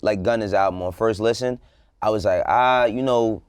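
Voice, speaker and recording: Low-voiced man, slangy talk show co-host. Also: normal speed, smooth, no room sound, clean studio sound. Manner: slangy, informal, swears freely. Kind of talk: casual music discussion.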